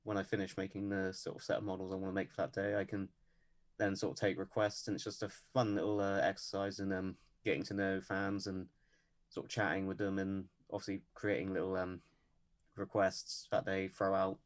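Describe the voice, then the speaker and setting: Male podcast guest, deep monotone, deadpan delivery, measured and hesitant. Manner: measured, lots of ums and hedges. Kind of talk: casual interview chat.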